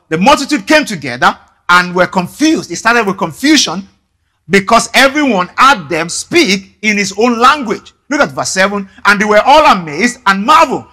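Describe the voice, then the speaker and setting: Bright-voiced pastor preaching. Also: male voice, high voice. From Nigeria. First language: Yoruba. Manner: animated preaching with gestures, frequent restarts. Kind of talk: sermon. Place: large church stage indoors.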